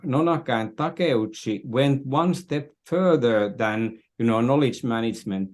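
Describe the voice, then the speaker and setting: An older man from Finland speaking as an interview guest, earnestly explaining his views in deliberate, halting phrases. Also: mid-range voice, a little mellow. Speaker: podcast guest, business strategist.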